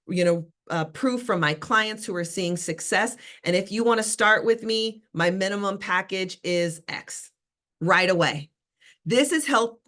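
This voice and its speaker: A woman's voice: medium pitch, fairly bright tone. Upbeat woman coaching a video class. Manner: upbeat, encouraging, addresses participants by name. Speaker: sales coach. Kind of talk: live online coaching class. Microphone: video call.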